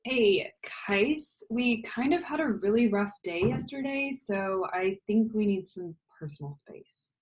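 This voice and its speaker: A woman's voice: mid-range voice, lively intonation, measured pace, few pauses, darkish tone, lightly acted, poor audio. A woman playing a sensitive, earnest, slightly sulky young woman in a script read-through. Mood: hurt, sulky.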